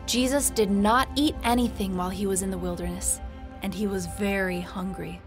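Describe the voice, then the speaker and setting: A woman's voice: mid-range voice, steady tone, normal speed. A woman reading scripted narration for a children's Bible story in short, simple sentences. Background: music.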